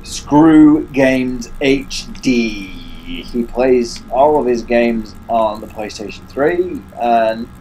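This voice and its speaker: A man's voice: mid-range voice, expressive, drawn-out words, mellow, slight background noise, low-quality recording. An English man commentating a horror game, muttering and grumbling to himself, with a stuffy nose and hiccups. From England. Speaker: gaming YouTuber. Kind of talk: casual let's play commentary.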